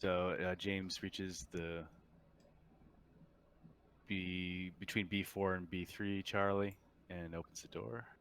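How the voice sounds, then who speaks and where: A man improvising narration as game master of a tabletop game over group voice chat, halting and often restarting his phrases. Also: sits low, little pitch movement, a bit bright, a little hiss or hum.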